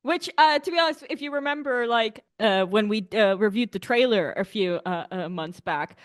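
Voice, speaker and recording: A woman's voice: a little high, animated, moderate pace. A Hungarian woman, a native Hungarian speaker, co-hosting a podcast and chatting frankly about films with lots of fillers and restarts. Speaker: podcast co-host. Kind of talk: casual film review chat.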